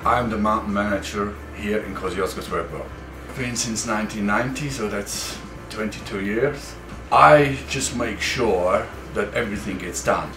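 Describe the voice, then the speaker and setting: A middle-aged man, a mountain manager talking in an interview in a relaxed, rambling way. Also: fairly low pitch, noisy background. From Switzerland. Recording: distant echoey mic.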